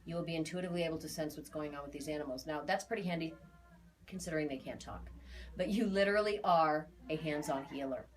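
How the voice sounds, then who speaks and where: A woman in her forties with a slightly low voice. She talks informally and emphatically to clients as an aura reader, chatting along and repeating key phrases to drive them home. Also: fast talker, low-quality recording. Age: 40s. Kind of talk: informal spoken reading to clients.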